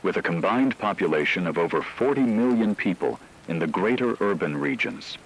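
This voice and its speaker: A man playing a grave radio news anchor, delivering a formal, measured, analytical scripted report on an apocalypse. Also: on the low side, animated, creaky, a little hiss or hum, poor audio.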